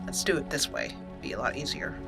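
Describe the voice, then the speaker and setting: A woman's voice: rumbling low, wildly swooping pitch, a little fast, creaky. A woman hosting a casual let's play, chatty and thinking aloud as she goes. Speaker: host, gamer. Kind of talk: casual let's play commentary with roleplayed dialogue. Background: soft game music.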